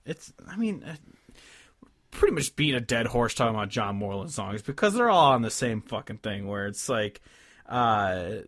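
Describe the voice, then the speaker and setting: A man in his twenties with a fairly low voice, recorded dry and close. He talks as a podcast co-host in a casual, rambling way, with informal and sometimes profane wording. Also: sing-song, normal speed, fairly bright tone, dry recording. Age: 20s. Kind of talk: casual music discussion.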